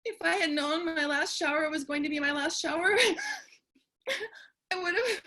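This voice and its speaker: High-voiced kooky woman survivor, acted by a woman. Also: normal speed, bright, forceful tone. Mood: nostalgic, excited. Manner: effusive, dramatic, chatty. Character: kooky, excitable, tender lone woman survivor of the apocalypse. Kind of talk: theatrical staged reading, monologue and dialogue.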